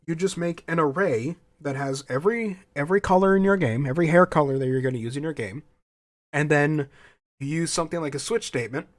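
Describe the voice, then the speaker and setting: A man's voice: fairly high pitch, no room sound. Stuffy, opinionated young man on a podcast. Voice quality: slightly scratchy. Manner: opinionated, restarts phrases, frequent fillers. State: stuffy with a slight cold. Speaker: podcast host. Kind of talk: casual solo podcast rant.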